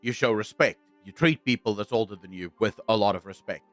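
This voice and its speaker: A young man with a South African accent talks to his stream audience, blunt and deliberate, circling back to restate his points. His voice is dry and close to the mic. Faint music plays underneath. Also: lowish voice, fairly bright tone, no room sound. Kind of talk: casual livestream chat, answering viewers.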